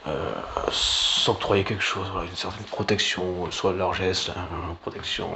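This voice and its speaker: A man giving a rambling, informal political monologue in a halting, sardonic way, with slang and swearing. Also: sits low, steady tone. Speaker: online political commentator. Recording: clearly noisy background.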